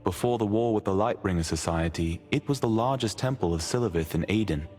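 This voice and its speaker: A man playing a solemn storyteller, delivering measured scripted narration of fantasy lore in a video game. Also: fairly low pitch, a little mellow, natural voice. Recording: music under the voice.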